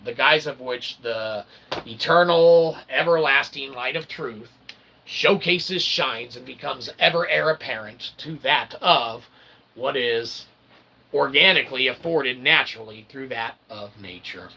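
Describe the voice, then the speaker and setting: A man's voice: medium pitch, a little slow, sharp tone, a little hiss or hum, low-quality recording. A man delivering a rambling philosophical stream monologue, emphatic and wordy, with convoluted academic phrasing and folksy asides. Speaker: independent channel streamer.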